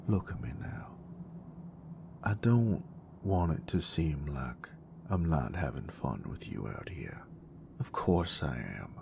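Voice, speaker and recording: Very deep, gravelly cocky bully boyfriend, acted by a man. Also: exaggerated voice. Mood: playful, teasing. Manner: teasing, slangy, murmuring, often dropping toward a whisper. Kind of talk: intimate romantic audio roleplay monologue. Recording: noticeable background noise.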